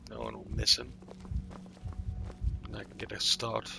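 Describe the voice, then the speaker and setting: A man roleplaying live while playing a video game, halting and thinking aloud as he goes. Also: fairly low pitch, flat delivery, vocal fry, music in the background. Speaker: streamer roleplaying a game character. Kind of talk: live in-character gameplay roleplay.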